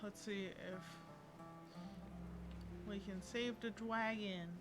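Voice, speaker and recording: A woman's voice: medium pitch, fairly even intonation, slow. A woman game streamer giving casual live commentary, informal and muttering to herself. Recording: game music clearly behind voice.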